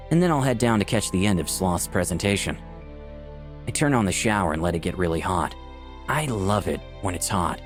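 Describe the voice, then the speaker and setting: A man playing an eager young tech fan who earnestly narrates his own story in a visual novel. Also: sits low. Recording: music behind the voice.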